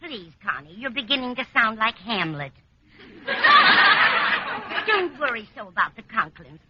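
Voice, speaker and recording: A kindly, homely landlady in an old radio sitcom. Her fairly high voice moves up and down freely, on a muffled, noisy vintage recording. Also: very animated, slow, exaggerated voice. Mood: reassuring. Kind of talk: 1950s American radio sitcom acting.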